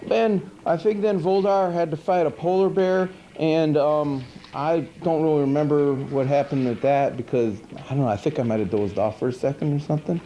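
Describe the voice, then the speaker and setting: A man plays a goofy, good-natured monster hosting a late-night horror movie show. His voice is fairly high and mellow, and he rambles hesitantly in a casual deadpan. The sound is a dull, slightly hissy 1980s videotape. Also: moderate pace, few pauses, natural voice. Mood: puzzled, rambling. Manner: rambling, hesitant, laid-back deadpan asides with pitch swoops. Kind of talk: casual comic hosting monologue. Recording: dull noisy 1980s VHS tape.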